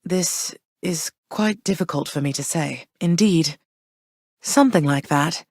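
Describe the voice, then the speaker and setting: A stately mother goddess from a video game, with a fairly low womanly voice. She phrases things formally and with care, firm but affectionate, with a dry wit. The recording is clean and dry, free of room sound. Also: darkish tone, breathy edge, no echo, exaggerated voice. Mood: tender, conflicted. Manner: measured, formal, archaic phrasing. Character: warm, firm, regal mother goddess, gently wry.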